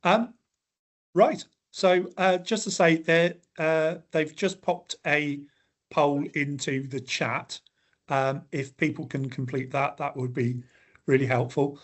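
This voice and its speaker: A man's voice: on the high side, fairly even intonation. Upbeat man hosting a webinar. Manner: friendly, brisk, thanking people. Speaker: webinar host. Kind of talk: hosting and wrapping up an online seminar. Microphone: video call.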